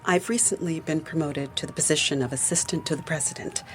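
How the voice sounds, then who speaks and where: A woman's voice: low voice, fast, fairly bright tone, faint music behind. An actress playing a proud, anxious businesswoman in a radio drama, speaking formally and precisely. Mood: guarded, formal.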